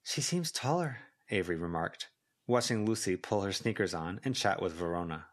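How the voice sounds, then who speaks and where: A man's voice: deep voice, a little fast. A man reading scripted fiction as a volunteer audiobook narrator.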